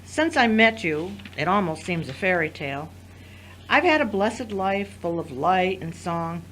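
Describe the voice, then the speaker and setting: A woman acting in an audio drama as a wounded but resolute wife, speaking in a solemn, deliberate, commanding way. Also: fairly low pitch, sing-song, fairly bright tone, slight background noise, poor audio.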